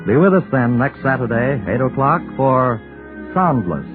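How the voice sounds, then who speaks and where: A man announcing in a scripted 1940s radio style with dramatic, measured phrasing. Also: mid-range voice, animated. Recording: dull 1940s radio broadcast with music. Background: music.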